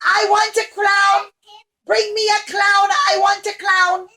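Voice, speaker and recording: A woman voicing a spoiled young princess who whines and shouts her demands. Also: extremely high pitch, little pitch movement, takes it slowly, distant mic, pitched above the performer's own voice, full character voice. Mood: demanding.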